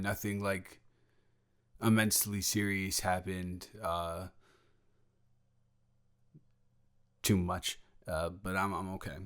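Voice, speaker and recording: A man playing a sheepish, evasive young male roommate in an improvised audio roleplay, halting and rambling as he talks. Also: sits low, a bit bright. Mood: subdued, evasive. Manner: halting, rambling, trailing off into restarts.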